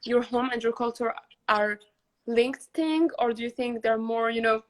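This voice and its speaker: A woman hosting a podcast, asking long, hesitant questions in a relaxed chat. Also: on the high side, normal speed. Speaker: podcast host. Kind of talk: casual interview questions.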